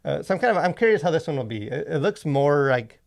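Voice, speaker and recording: A man with a fairly high voice and plenty of vocal fry hosts a casual podcast. He rambles along with enthusiasm, peppering his sentences with filler words like "like". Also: big pitch swings, fast talker. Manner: rambling, enthusiastic, heavy use of "like". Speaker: podcast host and movie reviewer.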